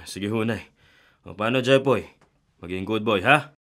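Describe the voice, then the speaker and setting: An actor playing a hurried, apologetic young father in a radio drama. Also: on the low side, a bit bright.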